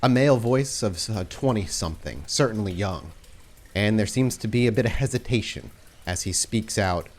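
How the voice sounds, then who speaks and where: A man narrating a tabletop roleplaying game as game master, setting scenes in a measured, atmospheric way. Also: lowish voice, lively intonation.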